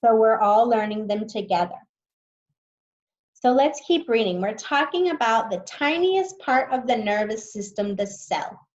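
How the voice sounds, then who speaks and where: Woman teacher, reads slowly and patiently, higher voice, dull lo-fi computer mic. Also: expressive. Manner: slow, patient, explains words as she goes. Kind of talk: reading a science book aloud to students.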